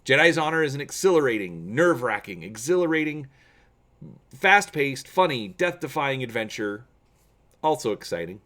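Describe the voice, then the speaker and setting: A man hosting a gaming channel, explaining to viewers and reading aloud dramatically with expressive emphasis. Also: medium pitch, normal speed.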